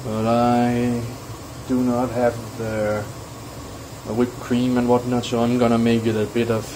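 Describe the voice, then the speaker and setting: A man casually narrating a home cooking vlog in a laid-back, somewhat halting way. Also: medium pitch, little pitch movement, noisy background. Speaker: home cook, video host. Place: indoors in a home kitchen.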